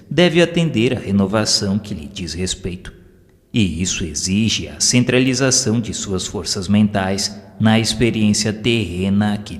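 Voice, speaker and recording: A man's voice: fairly low pitch, lightly acted. Man voicing a wise spirit instructor, cavernous reverb. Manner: solemn, didactic, formal. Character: wise, serene male spirit instructor, fluent and solemn. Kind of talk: audio novel character dialogue. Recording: long reverb over music. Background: music.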